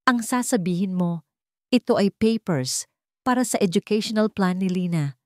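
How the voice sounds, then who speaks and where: A woman's voice: mid-range voice, moderate pace, no room sound, close to an everyday voice. A woman voicing a scheming, domineering older mother in an audio story, commanding and cutting. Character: scheming, domineering older matriarch, sharp and contemptuous.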